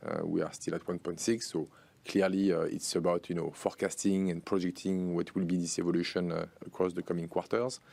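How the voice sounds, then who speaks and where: A man answering investor questions as a finance executive on an earnings call, speaking in halting phrases with many pauses. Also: deep voice, flat delivery, vocal fry, a little mellow, no room sound. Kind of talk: earnings call Q&A, unscripted explanation.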